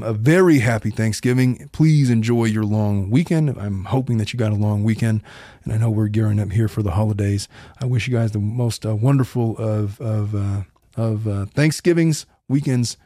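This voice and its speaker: A man's voice: medium pitch, warm tone, no echo, clean studio sound. A middle-aged man hosting his own podcast as a family law attorney, talking bluntly and earnestly in a long, rambling monologue.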